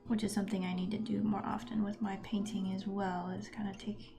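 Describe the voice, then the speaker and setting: A woman chats casually as she draws on a livestream. Her mid-pitched voice has a marked creak, and she muses aloud, letting thoughts trail off. Soft music plays faintly behind her. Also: flat delivery, brisk. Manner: musing, thinking aloud in trailing fragments. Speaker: artist streamer. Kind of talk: casual live drawing stream commentary.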